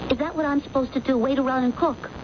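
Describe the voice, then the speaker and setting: A woman's voice: high-pitched, steady tone, quick pace, lightly acted. An actress playing a needy, wounded girlfriend, plaintive and melodramatic, in a 1970s radio drama. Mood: hurt, reproachful. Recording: muffled, noisy old radio broadcast recording.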